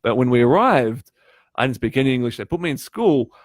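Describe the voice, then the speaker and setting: A man's voice: medium pitch, very animated, a little fast, no echo, studio quality. A man who grew up speaking Polish, giving a chatty live-streamed coaching talk on test preparation and often turning to his audience with questions. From Poland. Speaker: test prep tutor.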